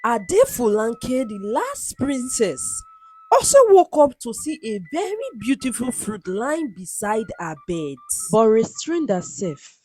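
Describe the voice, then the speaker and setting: A woman narrating a folktale in an expressive, deliberate, dramatic scripted storytelling style. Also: mid-range voice, husky.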